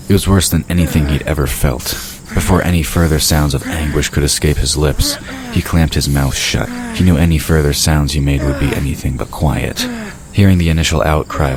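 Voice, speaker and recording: A man narrating a scripted fantasy audio drama. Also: very deep voice. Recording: noisy ambient sound effects behind.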